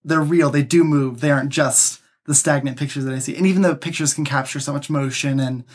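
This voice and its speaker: A man's voice: on the high side, fairly even intonation, brisk. Young male guest, easygoing casual talk. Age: young adult. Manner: easygoing, frequent "yeah" and "mm-hmm". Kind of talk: casual interview chat.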